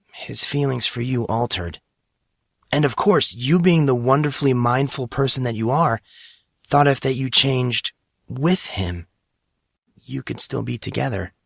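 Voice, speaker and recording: A man voicing a perceptive, earnest teenage schoolboy in audiobook dialogue, speaking deliberately. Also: mid-range voice, vocal fry, a bit bright, no room sound, studio quality, pitched above the performer's own voice. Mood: measured, knowing. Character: teenage schoolboy, perceptive, playful, hurt but kind.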